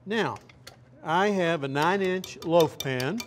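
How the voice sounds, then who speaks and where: Middle-aged male chef, slightly high voice, easygoing demo patter, lapel mic, faint dish clatter. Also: takes it slowly, slight background noise. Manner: relaxed, chatty step-by-step explaining. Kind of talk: cooking demonstration. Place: restaurant demo kitchen, indoors.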